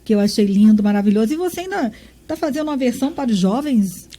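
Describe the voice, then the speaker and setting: A woman's voice: on the high side, animated, fast, mellow. A Brazilian woman interviewing an author, asking questions and affirming his answers with enthusiasm. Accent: Brazilian Portuguese.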